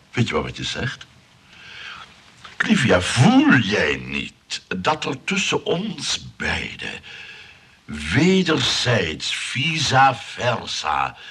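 An actor playing a lonely, pompous suitor in a comic radio play, stammering and repeating himself with dramatic pauses. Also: male voice, lowish voice, pushed and bright, breathy edge, exaggerated voice. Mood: wounded, grandiose. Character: lonely, pompous, pleading, spiteful male suitor.

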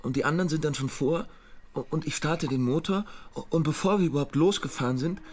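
An actor playing a frightened young male fugitive who turns himself in, talking in a halting way and stammering over repeated words. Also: on the high side, darkish tone, natural voice. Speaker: suspect turning himself in. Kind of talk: German radio drama acting.

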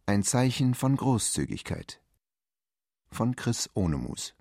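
A man giving a scripted title announcement for a radio play in a gravelly voice. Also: medium pitch, sing-song, takes it slowly, a little mellow, a little hiss or hum.

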